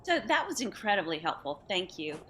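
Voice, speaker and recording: A woman's voice: mid-range voice, very animated, brisk, pushed and bright, slight background noise. A woman taking part in an online session, casually asking questions in a polite, apologetic way. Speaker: audience member asking questions.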